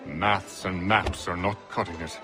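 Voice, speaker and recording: An actor playing a polite, practical scholarly researcher in a video game cutscene. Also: male voice, sits low, fairly even intonation, a little slow, music in the background. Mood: dry, practical.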